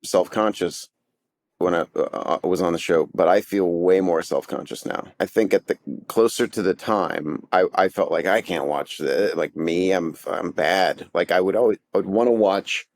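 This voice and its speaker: Middle-aged male actor, low-pitched, halting and self-deprecating, casual, dry room. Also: no echo. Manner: halting and self-deprecating, many restarts and fillers. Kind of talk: casual podcast chat.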